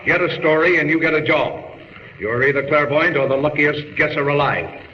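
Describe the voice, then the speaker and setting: Man playing gruff American newspaper boss, fairly high voice, impatient, blustery, vintage radio drama, muffled reverberant recording. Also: normal speed, a little hiss or hum, close to an everyday voice. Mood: brusque. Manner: blustery, brusque. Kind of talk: 1940s radio drama acting.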